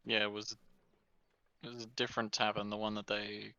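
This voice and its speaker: A man's voice: fairly low pitch, monotone, brisk, bright, forceful tone, clean tone, no echo, lo-fi sound. A man playing in a tabletop game, giving short, laid-back replies in casual banter. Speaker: player.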